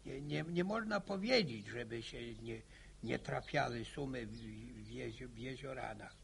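An actor playing a pious, garrulous old villager in a radio drama, a husky voice and a halting, stammering delivery that repeats words. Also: male voice, medium pitch, lively intonation, fairly bright tone, a little hiss or hum. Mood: reminiscing. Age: older.

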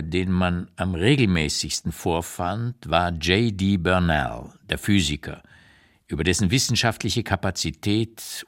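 An actor playing an elderly male writer looking back on his life, reading memoir prose in a measured, emphatic, carefully articulated way for a radio play. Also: sits low, a bit bright. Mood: admiring, measured. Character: 85-year-old writer looking back on his exile years, reflective and candid.

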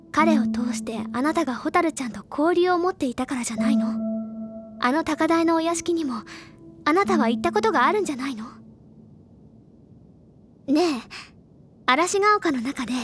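A woman acting a bookish, earnest teenage schoolgirl sleuth in an audio drama, insistent and explaining things deliberately in feminine phrasing. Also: high voice. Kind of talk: Japanese audio drama acting, accusatory monologue. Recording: loud music under the voice.

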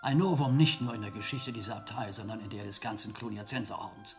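A man's voice: on the low side, fairly even intonation, fast, music in the background, lightly acted. An older actor playing a stern, proud abbot in a radio drama, formal, pompous and indignant about his authority. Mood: worried, indignant.

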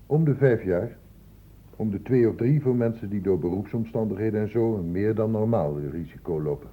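An actor playing a stern doctor in a radio play, patient but testy, explaining things with measured, sardonic authority. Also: male voice, fairly low pitch, close to an everyday voice. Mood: lecturing, firm. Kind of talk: Dutch radio drama acting. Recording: muffled, narrow-band old radio recording, noisy.

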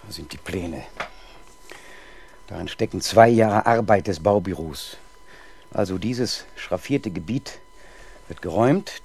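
A man's voice: lowish voice, a little mellow, slightly rough. An actor playing an indignant, pompous male town councillor in a 1970s German radio crime drama. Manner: blustering, pompous.

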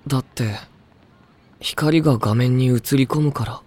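A man playing an aloof, unbothered high school gamer in an anime audio drama, giving deadpan, mumbled short replies. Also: unhurried, no echo, lightly acted. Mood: indifferent.

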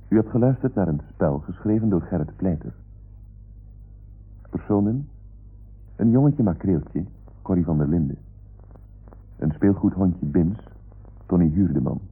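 A man announcing formally on old radio, reading out credits as a measured announcer. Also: low voice, slightly rough. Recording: muffled old radio recording.

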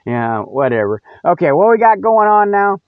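A man's voice: high-pitched, sing-song, normal speed, clean tone, no echo. A man hosting his own radio show, rambling solo in a folksy, colloquial, teasing way. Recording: tinny, band-limited radio sound, very dry.

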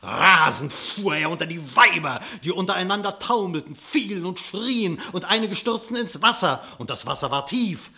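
A man narrating a verse fable theatrically, with dramatic, expressive declamation. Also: high-pitched, normal speed, hardly any pauses. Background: music.

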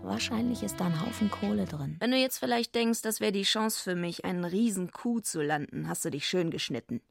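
A woman's voice: mid-range voice, fairly quick, creaky, faint music behind. An actress playing a tough, streetwise young woman, narrating a radio drama in a wry, matter-of-fact way.

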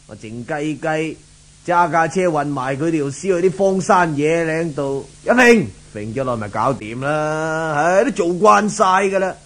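A man's voice: fairly high pitch, animated, unhurried, fairly bright tone. An actor playing a brash, swaggering henchman with a Hong Kong Cantonese accent in a 1970s radio drama. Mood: cocky. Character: cocky criminal henchman, brash and casual, serving his boss.

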